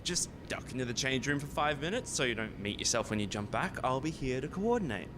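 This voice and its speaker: Actor as an excitable man, scheming scientist, cheeky and wheedling, swooping pitch, radio farce, noisy rumbly home recording. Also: fairly quick, natural voice. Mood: eager, persuasive. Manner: incredulous, wheedling, animated. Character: excitable, broke, gambling male research scientist, scheming and cheeky.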